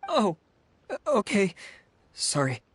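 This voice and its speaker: An awkward office clerk in a video game. He is nervous and halting, full of hesitations, yet fussily precise in how he puts things. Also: high voice, wildly swooping pitch, a bit bright, husky, exaggerated voice. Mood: flustered.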